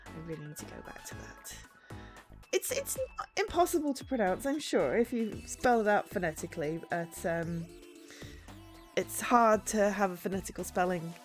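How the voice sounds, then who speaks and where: A woman chatting as she streams a game, a bit hoarse from a sore throat, bubbly and quick to laugh. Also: mid-range voice, very animated, soft background music. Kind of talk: casual game-stream chat.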